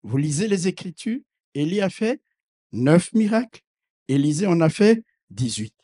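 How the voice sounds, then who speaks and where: A middle-aged man preaching a sermon as a bishop, not a native speaker of the language. He preaches fervently, calling out hallelujahs and swinging from quiet asides to loud proclamations. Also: high voice, big pitch swings, measured pace. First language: not French.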